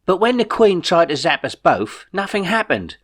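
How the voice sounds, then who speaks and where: A man's voice: sits high, very animated, moderate pace, voice pushed up. A man voicing a bewildered, reluctant ordinary bloke in space, doubtful and questioning, for an audiobook. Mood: puzzled. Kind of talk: audiobook character dialogue.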